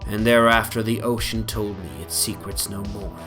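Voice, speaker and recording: A man's voice: on the low side, steady tone, moderate pace, a bit bright. A man narrating a horror fantasy story in a solemn, theatrical dramatic reading. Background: prominent music soundscape.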